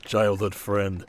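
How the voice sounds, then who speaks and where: A man playing a shrewd, confident king in an ASMR roleplay drama, speaking in a measured, wry and protective way. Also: on the low side.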